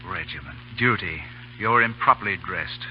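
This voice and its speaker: An actor playing a hot-headed, defiant cavalry lieutenant in an old radio drama, blunt, argumentative and sarcastic. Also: male voice, low voice, expressive, moderate pace. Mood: bitter. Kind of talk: 1930s radio drama acting. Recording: dull, noisy old radio broadcast.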